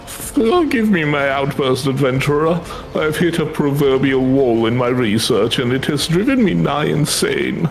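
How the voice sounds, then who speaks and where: A man voicing an excitable, easily frustrated scholar in a fantasy game, with flustered and theatrical formal speech. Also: mid-range voice, measured pace, hardly any pauses, poor audio. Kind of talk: fan read-aloud of fantasy game dialogue.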